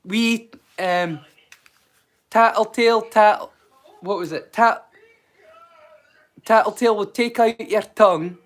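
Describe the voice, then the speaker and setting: Name: high-voiced Scottish man. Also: takes it slowly, pauses often. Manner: rambling, full of "like" fillers, swears casually. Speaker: storyteller streamer. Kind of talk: casual live-stream chat.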